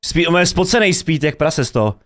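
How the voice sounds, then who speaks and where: A man's voice: fairly high pitch, fast talker, soft background music. A young man, a native Czech speaker, giving casual, slangy reaction commentary as a streamer. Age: young adult. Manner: excitable, slangy. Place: home streaming room, indoors. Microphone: desk microphone close up.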